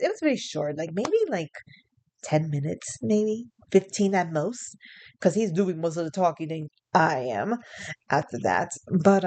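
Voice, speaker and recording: A woman's voice: lowish voice, a little mellow, clear, resonant tone. Young adult woman from New York, casual vlog storyteller, rambling and chuckling with lots of fillers. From New York, USA. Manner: rambling, chuckling, many fillers and self-corrections. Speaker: vlogger.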